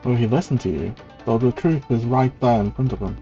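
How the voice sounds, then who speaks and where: Very low, dark-voiced woman streaming a game. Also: a little fast, lo-fi sound, faint music behind. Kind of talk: live gameplay commentary.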